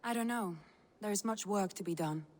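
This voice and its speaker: A woman playing a composed Norse noblewoman and advisor in a video game, speaking in a gentle, measured way. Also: mid-range voice, close to an everyday voice. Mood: wistful. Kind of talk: naturalistic video game acting.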